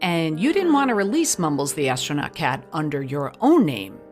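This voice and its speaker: A woman's voice: low voice, big pitch swings, normal speed, faint music behind. A woman hosting a podcast in a mock-investigative style, mixing interview questions with scripted narration. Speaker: podcast host.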